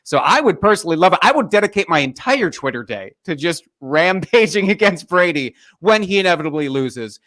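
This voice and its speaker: A man with a slightly raised pitch talks in a wry, casual way, laughing often and swearing freely. He sounds like a co-host chatting loosely with friends. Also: sing-song, a little fast, few pauses, a bit bright. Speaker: podcast co-host. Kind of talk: casual chat.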